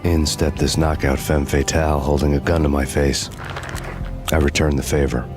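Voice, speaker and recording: Actor as a Brooding noir cop narrator, very deep, flat, bitter, score under him. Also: male voice, moderate pace. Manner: hard-boiled noir narration, dry and bitter. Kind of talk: scripted noir voice-over. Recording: with loud game music.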